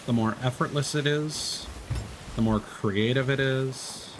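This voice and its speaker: A man's voice: mid-range voice, unhurried, slight background noise. A man casually talking through his game as he plays, rambling and correcting himself mid-sentence. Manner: rambling, self-interrupting, mild swearing. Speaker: streamer. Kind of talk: casual gameplay commentary. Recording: game music behind.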